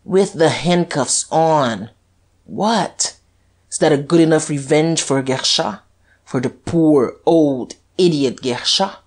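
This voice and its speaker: A woman's voice: a bass voice, husky, full character voice. A woman voicing a mocking, hot-tempered male police detective in a dramatized audiobook. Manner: mocking, taunting, excitable.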